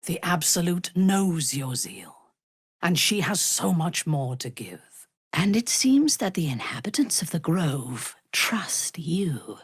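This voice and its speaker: An actress playing an imperious, ruthless dark elf warrior priestess in a video game, with a haughty, commanding manner and formal, measured phrasing. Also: female voice, lowish voice, very animated, breathy edge, no room sound, clean studio sound, exaggerated voice. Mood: hushed, intense. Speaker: video game companion character. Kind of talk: dramatic video game voice acting.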